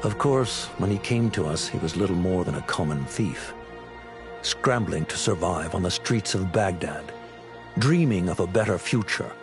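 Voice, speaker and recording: An older leader of a secret order in a video game. His low voice is grave and measured as he narrates like a keeper of old memories, with clear music underneath. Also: lively intonation. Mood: grave, reflective. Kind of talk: scripted cinematic narration.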